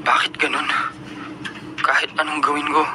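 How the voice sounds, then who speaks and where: A man plays a polite, earnest teenage student in a radio drama. He speaks respectfully and quietly. The broadcast recording carries a layer of noise. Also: a little high, monotone, moderate pace, natural voice. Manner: polite, deferential, often subdued. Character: earnest male high school student, top of his class, hurt by his cold father. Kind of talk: Filipino radio drama acting.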